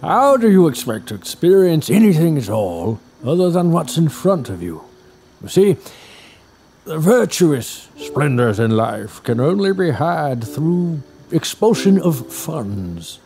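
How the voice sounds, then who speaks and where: An actor playing an excitable, pompous older scholar in an audio drama, speaking in a theatrical, drawn-out way. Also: male voice, on the high side, faint music behind, full character voice. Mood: condescending.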